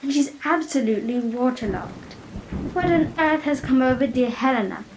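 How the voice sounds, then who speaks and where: A woman's voice: high-pitched, animated, moderate pace. A woman playing an earnest, concerned townswoman in a children's radio play. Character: earnest, concerned townswoman, a wife and eager neighbour. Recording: faint background noise.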